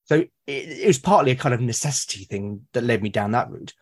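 Husky British man, halting. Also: medium pitch, expressive. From United Kingdom. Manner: hesitant, repeats words when starting sentences. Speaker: guest, artist and podcaster. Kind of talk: casual conversation.

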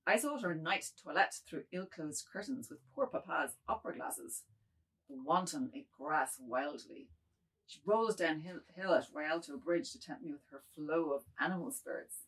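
Woman reading as husky, apologetic middle-aged man. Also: deep voice, lively intonation, moderate pace, fairly bright tone, exaggerated voice, low-quality recording. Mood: wistful confessing. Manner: theatrical, broken musing phrases. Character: middle-aged man, apologetic, self-justifying, wistfully reminiscing. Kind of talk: dramatic table reading of a modernist novel.

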